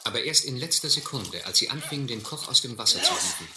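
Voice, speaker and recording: An actor playing an educated, resigned gentleman castaway in a radio play, articulate, earnest and bitter. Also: mid-range voice, expressive, fast, close to an everyday voice. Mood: grim narration. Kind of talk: German radio play narration and dialogue. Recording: roomy, faintly noisy radio play recording.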